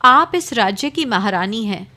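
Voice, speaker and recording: A woman's voice: mid-range voice, expressive, measured pace, sharp tone. A woman voicing a brave, determined young princess in a cartoon. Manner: resolute, reassuring.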